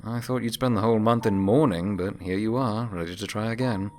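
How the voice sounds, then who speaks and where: A man playing a low-voiced, sceptical pony friend. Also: brisk, a little mellow, natural voice. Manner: doubtful, cautioning. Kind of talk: audio story character dialogue.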